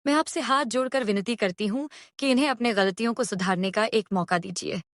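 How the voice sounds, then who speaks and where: A woman's voice: fairly high pitch, fairly quick, no room sound, clean studio sound, close to an everyday voice. A woman playing a forgiving young sister who speaks pleadingly in a cartoon story. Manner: pleading, tearful.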